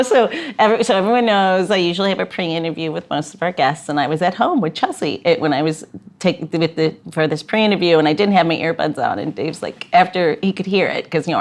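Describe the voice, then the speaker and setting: A woman's voice: on the low side, animated, brisk. A woman hosting a podcast, chatty and joking, who laughs often. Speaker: podcast host. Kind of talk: casual podcast chat.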